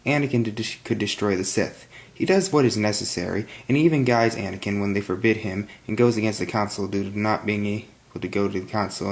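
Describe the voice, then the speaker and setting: A young man reading a film review in a flat, monotone manner, recorded on a webcam in a small bedroom. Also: on the low side, gravelly. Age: young adult. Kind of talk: read-out film review monologue. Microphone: webcam at desk.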